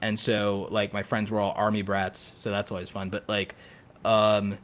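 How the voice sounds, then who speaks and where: A man chatting casually as a podcast co-host, telling stories in an animated, geeky, emphatic and joking way. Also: fairly low pitch, normal speed. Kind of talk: casual chat.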